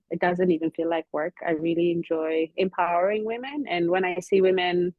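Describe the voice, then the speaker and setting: A woman's voice: on the low side, no room sound. Woman giving hair advice over a video call. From Ethiopia. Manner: earnest, explanatory, with many ums. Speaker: founder of a hair care platform, guest. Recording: dull, slightly lo-fi call audio.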